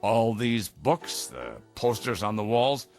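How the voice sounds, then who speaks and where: A man's voice: lowish voice, expressive, takes it slowly, vocal fry, a little mellow, faint music behind, heavily acted. A man playing an eager older underground jokester in an audio drama, coaxing a recruit in a theatrical way. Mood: wistful.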